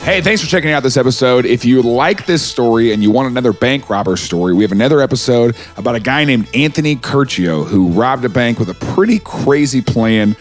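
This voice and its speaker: A man with a rough voice co-hosting a podcast, making wry quips and signing off the show. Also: medium pitch, expressive, fairly quick, few pauses, faint music behind. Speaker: podcast co-host. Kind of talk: casual chat and show sign-off.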